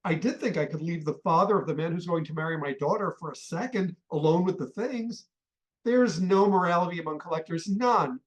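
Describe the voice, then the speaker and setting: A man's voice: on the high side, brisk, natural voice, poor audio. An actor playing an irascible, blustering middle-aged father and obsessive collector in a comic radio play, ranting indignantly. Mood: outraged.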